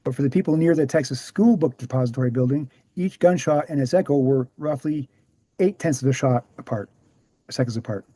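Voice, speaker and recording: A man playing an earnest, weary veteran researcher explaining things in a measured way at a screenplay table read. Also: medium pitch, mellow, dry recording, natural voice. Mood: explanatory.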